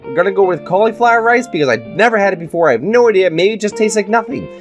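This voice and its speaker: Congested, lively YouTuber. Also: medium pitch, rapid-fire, faint music behind. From Canada. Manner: chatty, opinionated, playful. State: congested, stuffed-up nose. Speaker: YouTuber host. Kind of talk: casual chat, reading quiz questions aloud and commenting.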